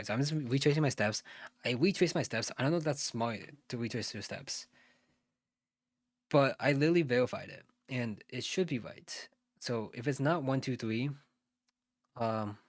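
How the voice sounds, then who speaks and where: Male tutor, American-raised, mid-pitch, dry close mic, muttery think-aloud delivery. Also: moderate pace, pauses often, dry recording. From United States. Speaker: SAT tutor streaming live. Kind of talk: live think-aloud math walkthrough.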